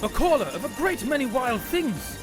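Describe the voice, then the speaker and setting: Brave, kindly young knight played by a man, urgent exclamations, high swooping pitch, fantasy story. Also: normal speed. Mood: amazed, grateful. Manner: excited exclamations and urgent commands. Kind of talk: fantasy audio story character. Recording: loud music behind the voice.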